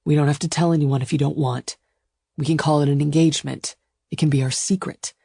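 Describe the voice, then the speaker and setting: A woman voicing a fierce, possessive male lover in a romance audiobook, with a low growl and an emphatic, insistent delivery. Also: fairly even intonation, fairly quick, gravelly, warm tone, husky, no echo, studio quality, full character voice. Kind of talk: audiobook romance character dialogue.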